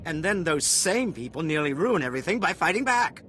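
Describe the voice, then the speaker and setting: A greedy, defensive official. His voice is fairly high, sharp and lively, indignantly justifying himself in a video game cutscene. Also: a little fast, soft background music.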